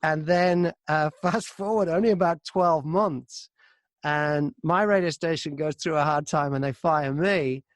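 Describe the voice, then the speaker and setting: A middle-aged man, a broadcaster and narrator, telling stories in a casual, animated, wry interview style. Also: fairly high pitch, unhurried, no room sound. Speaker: audiobook narrator and radio broadcaster.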